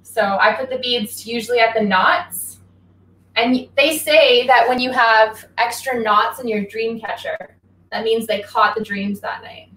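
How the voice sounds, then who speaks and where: A young woman giving a relaxed, chatty drawing lesson, explaining each step while she draws at home, speaking away from a laptop mic. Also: fairly high pitch, unhurried, reverberant, rough recording. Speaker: guest athlete teaching drawing. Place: small home room. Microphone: laptop mic from across the room, facing away.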